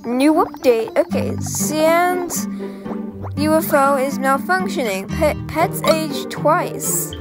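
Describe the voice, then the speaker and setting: High-pitched, stammering young girl gamer. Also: expressive, slow, loud music behind the voice. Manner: hesitant, stammering, repeating words. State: sick, voice sounds off. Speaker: gaming channel host. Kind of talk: casual gameplay commentary.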